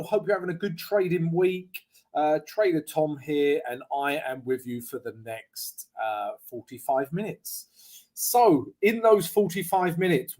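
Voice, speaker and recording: A man with a slightly croaky voice, hosting a live trading stream in a lively, joking, chatty way. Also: mid-range voice. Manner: lively, joking, chatty with viewers, frequent "right?" and "guys". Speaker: forex trading livestream host. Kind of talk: casual live trading chat. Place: home setup abroad, streaming live.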